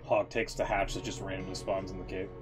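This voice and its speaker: A man's voice: sits low, little pitch movement, quick pace. A man giving casual, joking reaction commentary as a streamer, with a wry manner. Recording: music behind the voice. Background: music.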